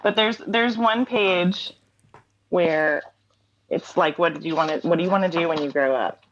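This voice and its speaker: A woman with a notably low voice chats casually as a guest, unhurried and warm with frequent small laughs. The recording is slightly dull and lo-fi, like a remote call. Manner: relaxed, laughs often, many fillers. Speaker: interview guest, writer and songwriter. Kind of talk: casual interview chat.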